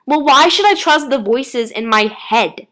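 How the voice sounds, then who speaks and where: A high-voiced teenage girl, played by a woman reader, indignant and easily panicked. She exclaims in disbelief, as a character in a dramatic story. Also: expressive, unhurried, fairly bright tone. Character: startled, indignant, panicky sixteen-year-old girl.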